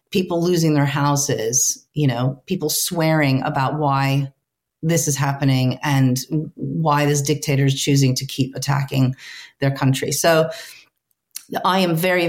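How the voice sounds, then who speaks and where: A woman's voice: low voice, a little mellow. A woman whose first language is Ukrainian, answering interview questions as a translator, earnest and restarting often as she searches for words. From United States, Ukrainian family.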